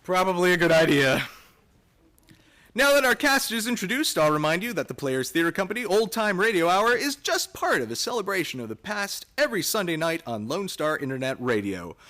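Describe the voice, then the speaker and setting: A man's voice: a little high, bright, forceful tone. A man hosting a radio show, reading scripted cast announcements in an emphatic, showy manner.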